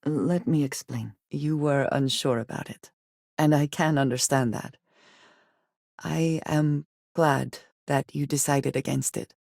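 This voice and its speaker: An actress playing a guarded, intense female space explorer in a video game, speaking in formal, measured, deliberate phrases. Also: low voice, steady tone, creaky, a little mellow, no echo, studio quality, exaggerated voice. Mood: measured, serious. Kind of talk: video game character dialogue.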